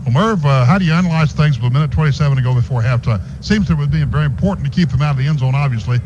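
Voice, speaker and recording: Male radio play-by-play announcer, mid-pitched voice, live game calls and sponsor reads, dull noisy recording. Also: rapid-fire. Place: stadium radio booth.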